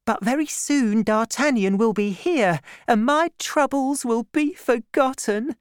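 A woman reading aloud and voicing a gentle, trusting young woman who talks in an eager, breathless way. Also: mid-range voice, lively intonation, slow pace, no room sound, studio quality, voice pushed up. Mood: excited.

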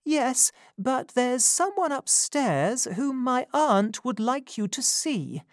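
Rose, a woman voicing a gentle pleading young lady. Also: sits high, very animated, takes it slowly, no room sound, clean studio sound, pitched above the performer's own voice, exaggerated voice. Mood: tender.

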